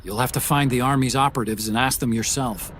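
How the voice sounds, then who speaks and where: An actor playing an earnest, helpful tech-expert sidekick in a video game, explaining things in a friendly way. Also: male voice, mid-range voice, steady tone, quick pace, a bit bright, a little hiss or hum, natural voice. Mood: briefing. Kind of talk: video game cutscene acting.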